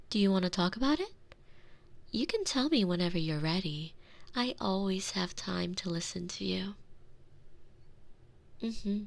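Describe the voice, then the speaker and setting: A woman playing a protective, gently dominant girlfriend in an intimate ASMR roleplay, soothing and doting, often slipping into breathy whispers. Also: medium pitch. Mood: tender reassurance.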